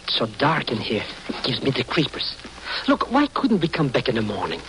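A man's voice: medium pitch, huge pitch swings, normal speed. An actor playing a fretful, cowardly, wisecracking sidekick who mangles his idioms, in old-time radio drama style. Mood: nervous complaining. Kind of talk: 1940s-50s radio drama acting. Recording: noisy old radio recording with clicks.

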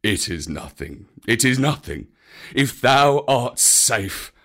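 A reader voicing a big kindly old bear who speaks gravely and fondly in a storybook. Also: medium pitch, sing-song, takes it slowly, fairly bright tone, exaggerated voice. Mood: tender.

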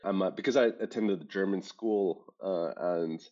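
A man from Hong Kong with an international school English accent, telling stories as an interview guest in a halting, self-correcting, emphatic way. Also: low-pitched, dark tone. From born and raised in Hong Kong. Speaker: startup founder and interview guest. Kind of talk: conversational interview answers, storytelling. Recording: dull lo-fi podcast audio.

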